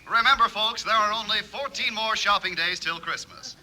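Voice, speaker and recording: Man playing high-voiced radio announcer. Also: moderate pace, close to an everyday voice. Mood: salesmanlike. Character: smooth-selling male announcer on a car radio. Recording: noisy vintage radio recording.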